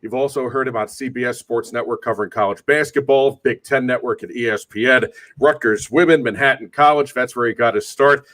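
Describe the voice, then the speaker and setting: A man hosting a sports talk show, speaking in a measured, organized way as he gives commentary and addresses his co-host. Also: mid-range voice, fairly bright tone.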